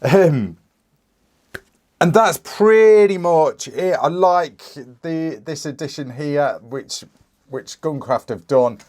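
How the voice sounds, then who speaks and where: A British man in his thirties, a little croaky from a cold, casually reviewing a rifle with many fillers and restarts. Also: a little high, lively intonation, slow pace, darkish tone. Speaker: firearms reviewer.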